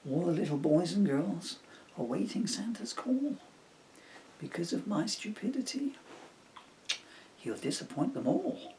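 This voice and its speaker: A man's voice: high voice, animated, a little slow, pauses often, dark tone, distant mic, a little hiss or hum, voice pushed up, poor audio. A man voicing an anxious, self-blaming reindeer in a children's storybook, with fretful, exclaiming delivery. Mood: despairing.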